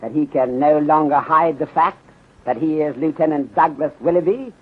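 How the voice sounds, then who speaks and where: An actor playing a courteous, shrewd detective in a 1930s radio drama, speaking formally and with measured politeness, often dropping articles. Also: male voice, a little high, slightly rough. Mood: deliberate, confident. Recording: muffled, tinny 1930s radio recording.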